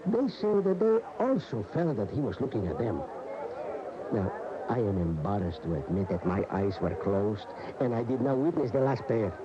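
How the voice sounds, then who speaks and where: An actor in a radio drama playing an emotional bullfight devotee, recalling his idol reverently with dramatic, halting phrases. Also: lowish voice, big pitch swings. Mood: reverent, awed. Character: admiring bullfight aficionado reminiscing, emotional and reverent. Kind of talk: 1980 radio drama monologue, interview-like recollection. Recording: dull, noisy 1980 AM radio recording.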